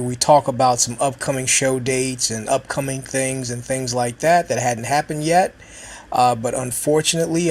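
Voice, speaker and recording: A man hosting a podcast interview, a little hoarse from a cold, with a scratchy voice. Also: medium pitch. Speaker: podcast host.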